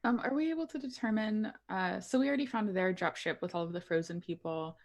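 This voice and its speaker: Woman, quick pace, mid-pitched, casual, tabletop roleplaying player. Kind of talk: casual in-game questioning.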